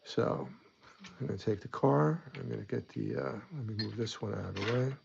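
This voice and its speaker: A man's voice: on the low side, moderate pace, creaky, dull audio, background noise. A man hosting a casual hobby weathering tutorial at his desk, chatty and rambling, a bit stuffy from a coming cold. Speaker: model weathering host.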